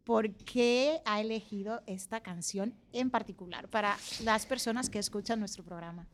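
A woman's voice: medium pitch, moderate pace, slight background noise. A woman hosting a casual radio interview with children, playful and teasing, often laughing. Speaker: radio host. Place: radio studio.

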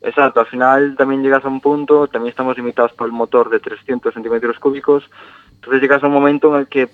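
A man's voice: mid-range voice, flat delivery, fast, no room sound. A young man from Galicia talks over a tinny phone line to a radio show. He is easygoing and laughs readily. The call sounds dry and narrow. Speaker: trial rider, guest on the phone.

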